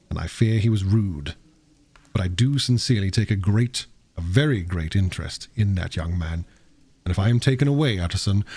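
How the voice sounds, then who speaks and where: A man voicing a troubled, secretive Victorian gentleman doctor in an audiobook, formal, earnest and emphatic, with a husky voice. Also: fairly low pitch, dry recording. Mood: pleading.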